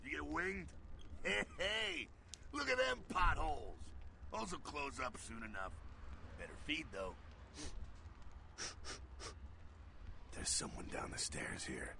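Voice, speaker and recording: An actor playing a gruff, streetwise male vampire mentor in a video game, slangy, swaggering and preachy. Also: a little high, very animated, measured pace, background noise, full character voice. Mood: brash, amused. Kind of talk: video game character acting.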